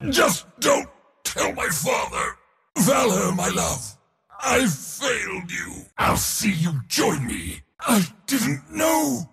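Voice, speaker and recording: A cocky god of war game voice, slow and booming, gravelly edge, big hall reverb, loves battle. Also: male voice, sing-song, a bit bright, exaggerated voice. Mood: defiant outrage. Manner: booming, declamatory, gloating, short battle cries. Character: bombastic, arrogant male god of war relishing battle. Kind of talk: theatrical video game character voice lines.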